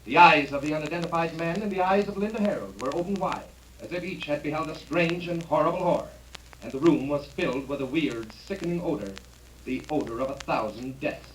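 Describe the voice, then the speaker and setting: Male radio commentator, played by an actor; formal, declamatory, high-set voice, slightly distant on vintage recording. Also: a little fast, close to an everyday voice. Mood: grave, sensational. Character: grave, dramatic male late-evening radio news commentator. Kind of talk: 1930s radio newscast acting. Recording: distant, faintly noisy old radio recording.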